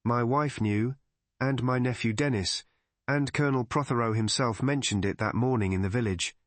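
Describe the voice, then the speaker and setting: A man's voice: medium pitch, moderate pace, dry recording, close to an everyday voice. A man voicing a polite, earnest village vicar in an audiobook.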